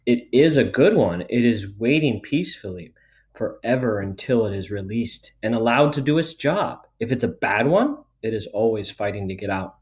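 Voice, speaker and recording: A man's voice: fairly low pitch, animated, normal speed, darkish tone, natural voice. A man voicing a friendly giant from a storybook, with folksy, muddled grammar. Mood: gentle, explaining. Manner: folksy, ungrammatical speech. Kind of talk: storybook read aloud.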